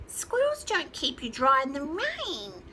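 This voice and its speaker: Excitable storybook poultry, acted by a woman, high and very animated. The birds are fussy and sceptical, breaking into clucks and crows. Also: unhurried, slight background noise, exaggerated voice. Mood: sceptical, clucking. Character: excitable, sceptical barnyard rooster and hen flock.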